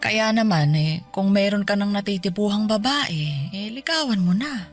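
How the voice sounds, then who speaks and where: A woman playing an affectionate, gently chiding older mother who is unwell, in a radio drama. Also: medium pitch, animated, normal speed, lightly acted. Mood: fond, teasing. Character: sickly older mother, affectionate and teasing, fretting over her grown son. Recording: music under the voice.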